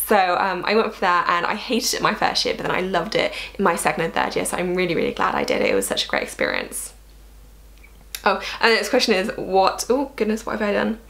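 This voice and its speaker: A woman's voice: mid-range voice, big pitch swings, a little fast, gravelly, fairly bright tone. A young British woman chatting casually and effusively to camera in a bedroom, answering questions as a vlogger. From Wales, United Kingdom. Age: young adult. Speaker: YouTube vlogger. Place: bedroom, indoors.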